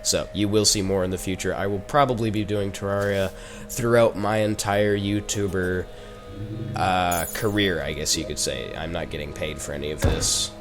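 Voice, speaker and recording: A man's voice: low voice, steady tone, moderate pace, music in the background. Teen boy gamer with a head cold. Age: teens. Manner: chatty and rambling, with joking asides. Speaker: gaming YouTuber. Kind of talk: casual gameplay commentary.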